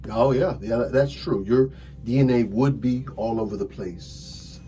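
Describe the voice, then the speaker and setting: A man playing a smug police detective in an ASMR crime roleplay, telling things dramatically with drawn-out, hushed phrases. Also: mid-range voice, close to an everyday voice. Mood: smug, theatrical. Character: smug theatrical male police detective interrogating a suspect.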